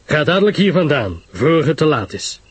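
A man's voice: on the high side, big pitch swings, normal speed, soft background music. A man voicing a grave, curt detective in a mystery story, speaking sharply with French interjections. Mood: stern warning. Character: grave, curt male detective giving stern advice.

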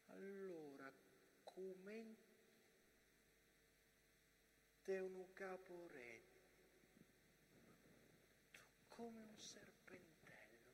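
An actor playing an intense narrator of trench combat, in theatrical storytelling that moves between hush and declamation. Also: male voice, high voice. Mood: hushed suspense. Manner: swings from hushed whispers to loud declamation. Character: intense dramatic narrator of First World War trench combat. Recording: clear background noise, stage ambience.

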